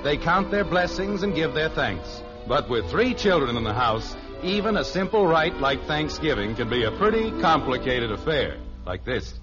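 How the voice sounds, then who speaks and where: Male radio announcer, 1950s American style: scripted, high-ish and expressive, dull vintage sound with music. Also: normal speed, few pauses. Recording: muffled old radio broadcast recording.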